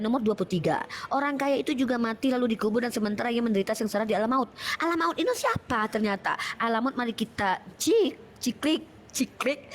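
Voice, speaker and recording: A woman's voice: a little high, slight background noise. Playful Medan-accented woman reading mockingly. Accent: Medan Indonesian. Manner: playful, mocking, laughs at her own reading. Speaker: host. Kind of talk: online discussion, reading and commenting.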